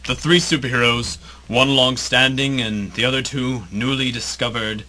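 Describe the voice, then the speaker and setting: A man's voice: medium pitch, bright, forceful tone, slight background noise, low-quality recording. Pompous theatrical radio storyteller played by a man. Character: grandiose storyteller host of superhero radio drama. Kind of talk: scripted radio drama narration.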